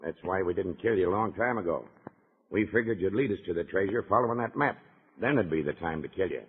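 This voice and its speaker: A man's voice: deep voice, quick pace, husky. A man playing a sly, bullying kidnapper boss in an old-time Western radio serial. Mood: menacing. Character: menacing male kidnapper gang boss, threatening and sly. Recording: noisy, tinny old radio recording.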